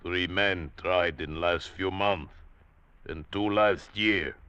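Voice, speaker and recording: An actor playing a huge scarred gladiator slave in a radio drama, grim and menacing, speaking blunt broken English. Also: male voice, low voice, takes it slowly, sharp tone, clear, resonant tone, no echo, lo-fi sound, exaggerated voice. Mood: grave warning. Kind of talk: old-time radio drama acting.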